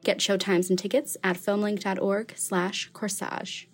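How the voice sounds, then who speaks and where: A woman's voice: medium pitch, flat delivery, unhurried, slightly rough, faint music behind. A woman hosting a podcast, reading a polished, scripted introduction. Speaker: podcast host.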